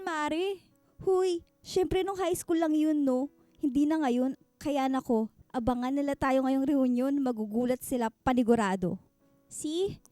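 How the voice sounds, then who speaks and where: A chatty young woman friend in a radio drama. Her voice is very high and softly mellow. She banters playfully and teases in a colloquial way. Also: no room sound.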